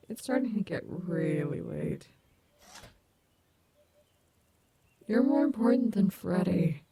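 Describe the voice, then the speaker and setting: A woman's voice: on the low side, background noise. A woman playing a cocky but caring animatronic wolf rock star in an ASMR audio roleplay, teasing and affectionate.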